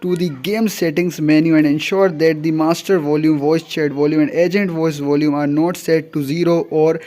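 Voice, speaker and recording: A man's voice: on the high side, steady tone, normal speed, warm tone. A man hosting a tutorial video, narrating scripted step-by-step instructions in a formulaic, repetitive way.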